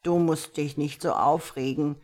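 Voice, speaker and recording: A woman voicing a wise, patient tomcat in a storybook, speaking in a measured, gently instructive and slightly pompous way. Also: low-pitched, gravelly, voice pushed down, full character voice. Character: wise, patient male tomcat, calm mysterious mentor. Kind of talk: storybook character voice.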